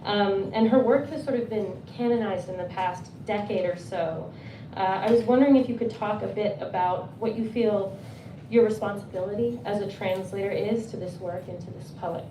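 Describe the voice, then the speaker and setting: A woman's voice: mid-range voice, moderate pace. Woman moderator in an echoey bookstore. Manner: friendly, with frequent fillers. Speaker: event moderator. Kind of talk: moderating a live Q&A. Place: bookstore event space. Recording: roomy, distant, noisy room sound.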